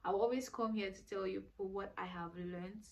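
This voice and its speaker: An earnest woman talks straight to her viewers in a casual vlog. Her pitch sits in an ordinary middle range. She preaches and repeats herself to drive each point home. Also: fairly even intonation, fairly quick, dull audio. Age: 20s. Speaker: vlogger. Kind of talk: casual advice monologue.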